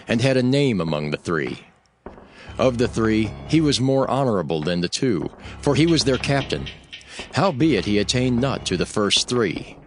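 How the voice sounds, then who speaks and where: A man narrating scripture from a script in a measured, formal manner. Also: medium pitch, fairly bright tone. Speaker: Bible narrator. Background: background music.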